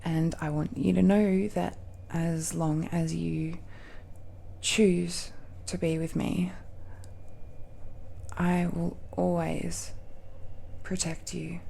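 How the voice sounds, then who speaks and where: A woman performs a secretive, affectionate dragon-blooded girlfriend in a romantic audio roleplay. She speaks very slowly in a warm, dark tone, tender and hesitant, with many pauses. There is a light noise behind the voice. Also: fairly low pitch, gravelly. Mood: tender, devoted. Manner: hesitant, tender, pausing often.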